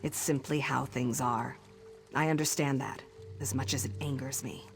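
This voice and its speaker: An actress playing a young orc chief's daughter in a video game, earnest and measured, torn between duty and longing. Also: female voice, very deep voice, steady tone, faint music behind. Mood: conflicted. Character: young orc chief's daughter, dutiful but yearning for freedom. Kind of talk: video game dialogue acting.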